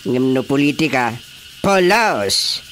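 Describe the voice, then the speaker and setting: A woman playing an opinionated grumbler in a radio drama, with a Filipino accent and an emphatic, drawn-out delivery. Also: a bass voice, expressive, fairly bright tone, slight background noise, heavily acted. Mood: mocking. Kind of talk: Ilocano radio drama dialogue.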